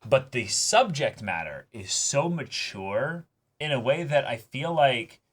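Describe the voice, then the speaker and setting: A man talks through an episode as a reaction host. His voice sits at an average pitch. He is earnest and halting, feeling for words in a casual chat. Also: very animated, a bit bright.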